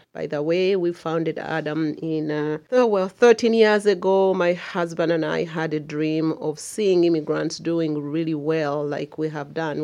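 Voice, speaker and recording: Earnest woman, low-pitched. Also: darkish tone. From Uganda. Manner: earnest, emphatic and heartfelt. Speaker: community association chair. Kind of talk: radio interview.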